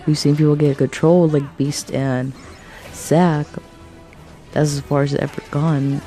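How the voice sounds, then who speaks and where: A woman with a raspy voice talking through game theories in a rambling, hesitant monologue, thinking aloud and jumping between topics. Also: deep voice, level tone, a little slow, warm tone, faint music behind. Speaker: video game fan vlogger.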